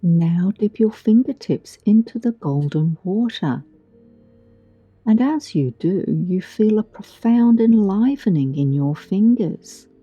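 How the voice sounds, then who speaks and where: A woman guiding a meditation in a soothing, gentle, deliberate way with long pauses, recorded with a muffled sound. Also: mid-range voice, slightly rough, dry recording. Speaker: meditation guide. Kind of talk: guided meditation narration. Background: background music.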